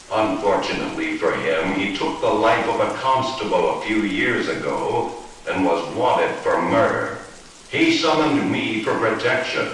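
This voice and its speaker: Slow, echoing, sinister Devil. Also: male voice, on the low side, a bit bright. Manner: formal, deliberate, sinister. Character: the Devil, stately, cunning, courteous male master of hell. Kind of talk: old-time horror radio play acting. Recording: roomy echo, distant, faint hiss.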